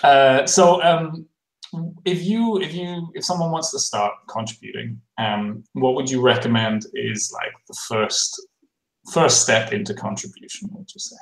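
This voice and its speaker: A man hosting a livestream interview over a video call, halting with many fillers and restarts. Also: mid-range voice, vocal fry, echoey room, poor audio. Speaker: host.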